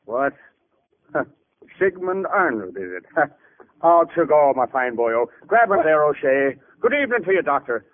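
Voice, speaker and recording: Bantering Irish policeman; highish, animated voice, old radio drama. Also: normal speed. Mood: sceptical, jovial. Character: folksy, sceptical Irish male policeman. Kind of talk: 1940s radio drama acting. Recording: tinny, hissy old radio recording.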